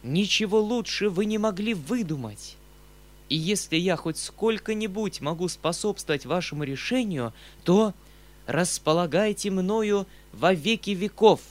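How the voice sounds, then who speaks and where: Man playing an eager young gentleman nephew. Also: fairly high pitch, animated, normal speed. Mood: delighted.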